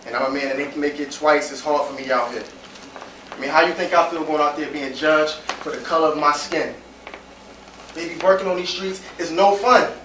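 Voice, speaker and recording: Roomy, distant stage recording with faint noise. A male actor plays a high-voiced young American man, frustrated and determined, pleading his case in insistent, slangy stage-drama speech. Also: brisk, a bit bright, lightly acted. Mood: frustrated, defiant. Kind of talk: stage play acting.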